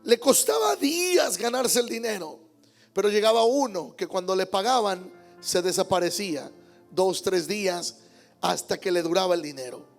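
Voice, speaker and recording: A man's voice: high-pitched, lively intonation, moderate pace, creaky, faint music behind. A male pastor preaching a Sunday sermon in church, emphatic, repeating key words and calling out amens. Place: church service.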